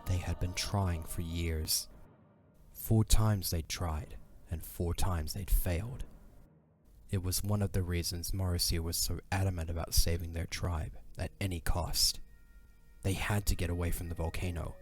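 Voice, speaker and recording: A man's voice: low-pitched, steady tone, measured pace. A man narrating an audio drama as a scripted story. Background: faint music.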